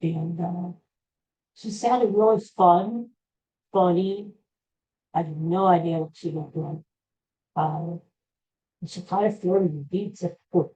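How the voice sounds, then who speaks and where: Older American man, very mellow, muffled recording. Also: high-pitched. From Pennsylvania, USA. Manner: rambling, halting, self-deprecating. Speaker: podcast host. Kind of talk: casual personal storytelling. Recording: dull, muffled lo-fi audio.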